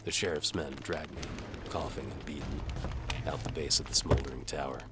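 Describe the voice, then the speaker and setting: A man playing a sardonic, world-weary medieval bow for hire, narrating in a wry deadpan as scripted audio drama. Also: extremely low pitch, moderate pace, heavy background noise. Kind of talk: first-person scripted narration, hard-boiled audio drama.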